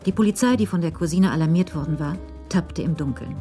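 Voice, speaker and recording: A woman reads scripted crime narration with measured, literary phrasing. Her voice sits on the low side and is dark and mellow, with some music around it.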